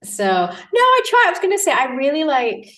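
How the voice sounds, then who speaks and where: A woman's voice: high voice, huge pitch swings, moderate pace, a bit bright. Bubbly woman guest from Australia. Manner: bubbly, laughs often, chatty with fillers. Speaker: guest dietitian. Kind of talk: casual interview chat.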